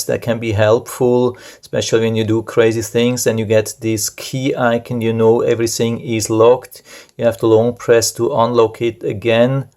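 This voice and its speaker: Flat-toned man demonstrating a gadget. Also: mid-range voice. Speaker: product reviewer. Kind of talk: casual unscripted product demonstration.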